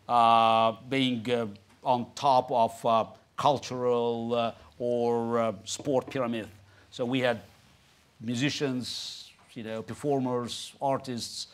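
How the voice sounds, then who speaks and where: A man in his fifties with a Russian accent, a native Russian speaker talking as a guest on a theatre stage, halting, with many restarts and "you know" fillers. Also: mid-range voice. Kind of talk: onstage conversation before an audience. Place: large theatre stage, indoors.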